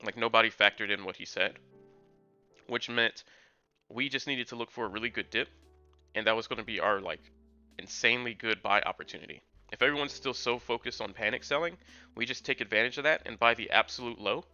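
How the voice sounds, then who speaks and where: A man's voice: mid-range voice, brisk, bright, forceful tone, clear, resonant tone. A young man streaming live from home, giving upbeat chart commentary to chat viewers while losing his voice from a sore throat. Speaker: crypto trading streamer. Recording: dull lo-fi sound with faint music. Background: faint background music.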